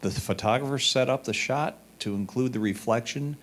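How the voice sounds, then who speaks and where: A man's voice: mid-range voice, normal speed. A man reading a judge's written critiques aloud as a competition reader.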